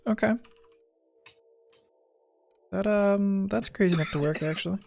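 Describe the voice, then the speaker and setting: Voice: medium pitch, a little slow, gravelly, a little mellow. A gamer chatting casually during play, with playful, incredulous questions. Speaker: streamer playing a video game. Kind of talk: casual gaming chat.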